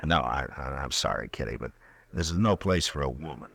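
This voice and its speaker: An actor playing a steady, commanding Old West marshal in a radio western, terse and level-headed. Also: male voice, extremely low pitch, fairly quick, creaky, husky, heavily acted. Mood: weary, firm.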